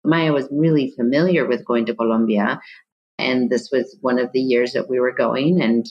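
A woman's voice: extremely low pitch, fairly even intonation, moderate pace, dull audio. A middle-aged woman, a guest on an interview, telling a family story in a reflective, searching way with frequent restarts. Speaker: guest, adoptee mother. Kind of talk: reflective conversation.

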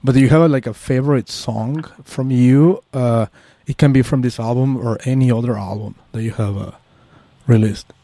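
Brief: Mexican man hosting in English, halting and friendly-paced questions, mellow voice. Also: medium pitch, gravelly, no room sound. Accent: Mexican Spanish. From Mexico City. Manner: halting, many uhs, repeats "excellent". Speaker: radio show host. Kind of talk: interview.

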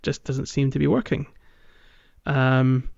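A dull, very dry close recording of a man with a mid-pitched voice. He co-hosts a casual podcast, explaining things in a halting, conversational way. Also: level tone, gravelly, darkish tone, no room sound. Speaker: podcast co-host. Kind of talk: casual game-walkthrough podcast chat.

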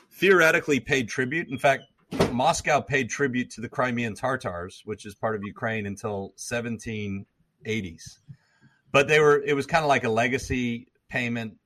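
A man's voice: medium pitch, a bit bright. A man speaking as an interview guest, explaining history at length in a lecturing way, often repeating and restarting words. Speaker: guest, former troop commander and history professor.

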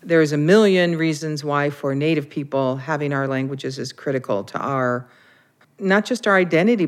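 Thoughtful woman making a measured argument. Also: low-pitched. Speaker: interviewee, Dakota language learner. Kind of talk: interview commentary.

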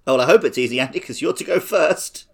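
A man's voice: on the high side, fast talker, vocal fry, a bit bright, slightly rough. A man hosting a casual panel quiz, bantering and teasing with the contestants. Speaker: quiz show host.

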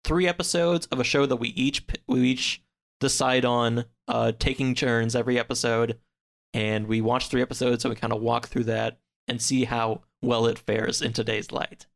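A man speaking as a podcast guest in a casual, somewhat halting conversational way. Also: mid-range voice, fairly even intonation, creaky. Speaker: podcast guest and co-host of another show.

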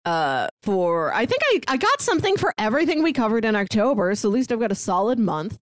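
A woman's voice: fairly high pitch, big pitch swings, a little fast, no echo. Chatty American woman, dry close sound. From St. Louis, United States. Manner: informal, enthusiastic, peppered with "like". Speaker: podcast co-host. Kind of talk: casual pop-culture recap chat.

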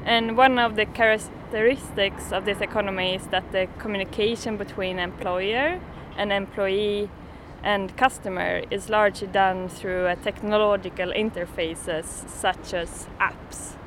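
Woman, mid-pitched voice, interviewer, measured prepared questions, street traffic behind. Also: fairly even intonation.

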